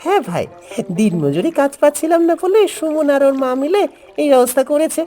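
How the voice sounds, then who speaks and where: A woman's voice: high voice, very animated, a little fast, warm tone, faint music behind. A woman voicing a humble village father in a cartoon story, courteous and earnest. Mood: eager, hospitable. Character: humble village father running a new food stall.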